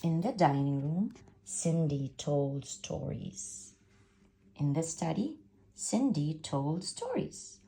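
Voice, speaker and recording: A woman reads a storybook aloud with theatrical flair. Her voice is fairly low and mellow with plenty of creak, and she takes her time. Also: sing-song, takes it slowly, slight background noise. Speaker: storybook reader. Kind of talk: dramatic read-aloud storytelling.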